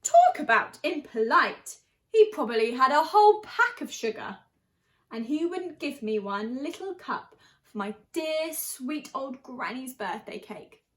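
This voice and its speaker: Storybook wolf, read by a woman: chatty, aggrieved, insisting on innocence, very lively high voice. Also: a little slow. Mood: indignant. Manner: animated, self-justifying, conversational.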